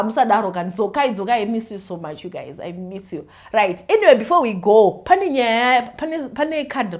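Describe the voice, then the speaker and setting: A Zimbabwean woman hosting her own channel, chatting casually and animatedly to her viewers and switching freely between Shona and English. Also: on the high side. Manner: animated, mixes Shona and English, laughs often. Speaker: YouTube host.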